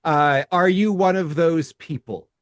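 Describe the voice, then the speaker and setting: A man's voice: on the high side, unhurried, dry recording, studio quality. A man co-hosting a podcast in casual conversation who laughs often and stammers over his restarts. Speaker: podcast co-host.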